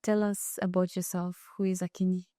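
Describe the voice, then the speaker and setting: A woman hosting an interview, asking questions slowly with many hesitations. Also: lowish voice, flat delivery, no echo, clean studio sound. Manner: slow and hesitant, many ums and soft mm-hmms. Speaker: interview host.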